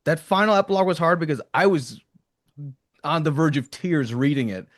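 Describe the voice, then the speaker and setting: A man's voice: a little high, lively intonation, brisk, no echo, studio quality. A man who works as a voice actor, chatting casually and reminiscing. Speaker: voice actor on an audio drama.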